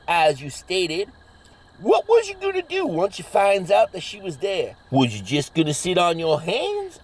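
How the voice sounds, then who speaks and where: A man's voice: high-pitched, full character voice, rough recording. A man acting a cocky, folksy backwoods monster hunter in a comedy horror audio drama, rambling in a drawl with mangled grammar.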